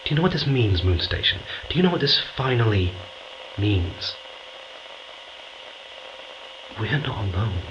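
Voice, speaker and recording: A man playing an anxious, brooding astronaut on a remote moon base in an audio drama, speaking haltingly with breathy urgency. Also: fairly low pitch, sing-song, dull audio. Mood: awed, fascinated. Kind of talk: audio drama acting, confiding recorded log. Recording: clear background noise.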